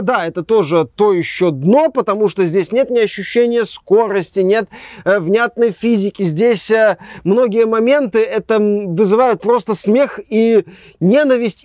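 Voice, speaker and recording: A man's voice: high-pitched, a little mellow, dry recording. A young man reviewing a game in casual conversation, sarcastic and rambling. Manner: sarcastic, rambling, trails off often.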